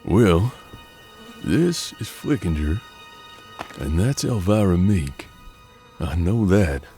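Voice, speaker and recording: An actor playing a weary, gruff bounty hunter in a western audio drama, with a folksy, unhurried, gravelly drawl. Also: male voice, deep voice, lively intonation, mellow, faint music behind. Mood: matter-of-fact.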